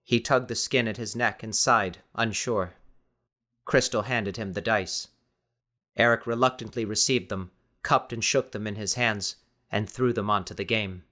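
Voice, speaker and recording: Measured male audiobook narrator. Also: on the low side, bright, forceful tone. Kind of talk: scripted dramatic fiction narration.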